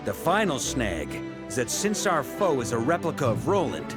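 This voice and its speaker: An assured commander over music. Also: male voice, medium pitch, huge pitch swings. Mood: confident, easygoing. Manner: measured, assured explaining. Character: confident, easygoing seasoned male expedition commander. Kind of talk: video game dialogue acting. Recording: music behind the voice.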